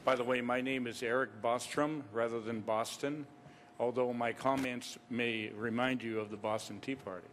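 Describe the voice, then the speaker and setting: Emphatic man speaking over rumbly noise. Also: steady tone, normal speed, a bit bright. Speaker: preacher, public commenter. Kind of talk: extemporaneous political speech at a meeting.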